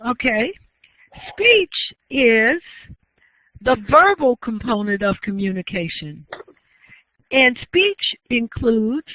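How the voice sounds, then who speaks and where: A woman lecturer speaks slowly and carefully, laying out each definition step by step. The sound is narrow and tinny, like a phone line, with a dry, close feel and no room echo. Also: fairly high pitch, big pitch swings. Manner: slow, deliberate, explanatory. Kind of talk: university lecture. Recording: tinny lo-fi dry classroom recording.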